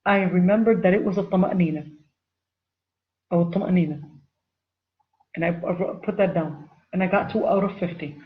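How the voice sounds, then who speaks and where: A woman's voice: fairly low pitch, normal speed, pauses often. Chatty woman teacher, dull recording. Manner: chatty, repeats phrases for emphasis, addresses viewers as "you guys". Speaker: Islamic studies teacher. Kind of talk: informal online lecture.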